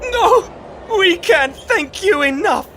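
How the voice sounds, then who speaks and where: A man's voice: extremely high pitch, expressive, takes it slowly, sharp tone, a little hiss or hum, full character voice. An actor playing a chatty, self-mocking male street performer in a video game, with a theatrical, wry storytelling manner. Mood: effusive gratitude. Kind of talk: animated video game voice acting. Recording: music under the voice.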